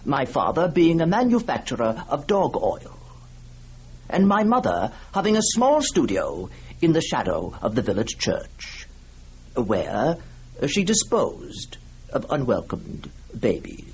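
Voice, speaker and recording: A man's voice: medium pitch, huge pitch swings, slow, darkish tone, a little hiss or hum. An actor playing a polite, matter-of-fact man who tells a macabre life story in a formal, theatrical radio reading. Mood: primly matter-of-fact.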